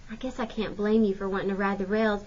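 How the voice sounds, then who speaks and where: A woman's voice: medium pitch, little pitch movement, quick pace, darkish tone, muffled recording, lightly acted. A woman reading a storybook in the role of a sweet, frank and inquisitive ten-year-old girl. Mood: frank, probing.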